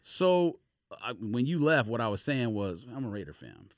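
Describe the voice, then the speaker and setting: Man, podcast co-host, lively swinging intonation, dry close recording, slangy, casual banter. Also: medium pitch, normal speed, dry recording. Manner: slangy, frequently says bro and fam.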